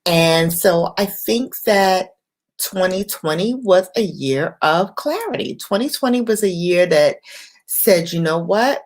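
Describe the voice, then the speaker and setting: A woman hosting an impromptu late-night livestream, speaking in an unhurried, reflective way in short, paused phrases. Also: on the low side, lively intonation. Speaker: livestream host.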